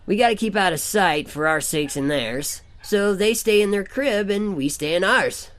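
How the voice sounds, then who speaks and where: A man's voice: sits high, lively intonation, moderate pace, a bit bright. A man playing a young mutant turtle narrating a letter in a comic audio drama, casual and slangy. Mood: downbeat, resolved. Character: level-headed young male mutant turtle.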